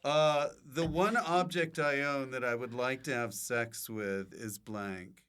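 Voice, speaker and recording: A man's voice: mid-range voice, slow, fairly bright tone, smooth. A man hosting a podcast in casual comedic chat, full of joking, mock-abusive banter. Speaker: podcast host.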